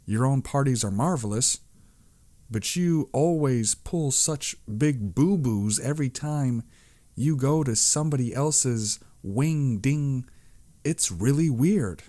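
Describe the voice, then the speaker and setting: A man's voice: mid-range voice, expressive, slow pace, mellow. A man voicing a cheerful, blunt, oblivious woman in publishing, earnest and tactless, in an audiobook. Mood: breezy, blunt.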